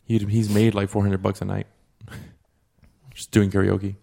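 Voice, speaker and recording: A man's voice: fairly low pitch, completely flat delivery, a little slow, gravelly, warm tone. A man chatting casually, laid-back and swearing often. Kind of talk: casual chat.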